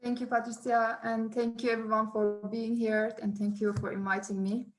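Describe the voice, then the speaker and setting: A woman from Turkey, a sociology professor speaking on an online panel, thoughtful and halting with frequent restarts. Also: on the high side, flat delivery, soft background music, low-quality recording.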